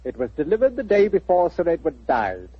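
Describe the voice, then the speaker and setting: A formal, sardonic butler, deferential on the surface and deadpan underneath. His voice is husky and rises and falls freely. It comes through a dull radio recording. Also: a little high, brisk, dry recording, full character voice. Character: dry, sardonic manservant butler. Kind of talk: 1940s radio acting.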